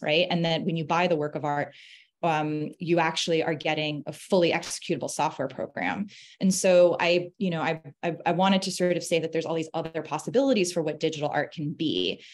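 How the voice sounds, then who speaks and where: A woman in her thirties speaking as a curator in a panel discussion, articulate and explanatory, often checking in with "right?". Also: low voice. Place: indoor room on a video call.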